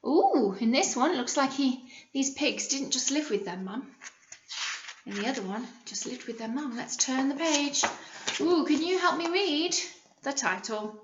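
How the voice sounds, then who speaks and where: A British woman, a primary school teacher, reading a picture book aloud to young children and pausing to explain and ask simple questions. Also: high voice, lively intonation, roomy sound, highs cut off, noisy background.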